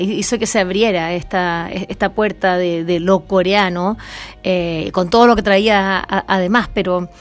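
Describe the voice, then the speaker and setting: A woman, interviewing with hesitant, winding questions full of fillers. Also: mid-range voice.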